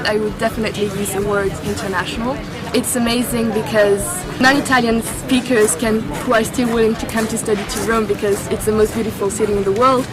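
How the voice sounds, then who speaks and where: A woman in her early twenties speaks in a slightly high voice, choosing her words with care. She is recorded outdoors in a city, with clear music playing behind her. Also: poor audio. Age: 20s. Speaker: politics student. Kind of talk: interview testimonial.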